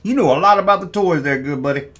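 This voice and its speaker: A man's voice: a little high, fast, a bit bright. A folksy man hosting a toy review, chatting casually with playful CB slang and repeating himself. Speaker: toy review video host. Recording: faint background noise.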